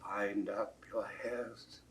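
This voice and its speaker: An actor playing a grave, tender royal lord in a classical Shakespearean audio drama, declamatory and dropping into a breathy hush. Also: male voice, fairly low pitch, level tone, very slow. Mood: tender, solemn. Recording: distant mic with background hiss.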